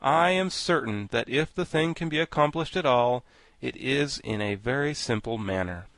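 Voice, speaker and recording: A man's voice: medium pitch, moderate pace, a bit bright, no room sound, close to an everyday voice. A man voicing a good-natured, wry and talkative living scarecrow in a storybook, speaking with genial emphasis. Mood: wry, reasoning. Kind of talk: storybook character voice.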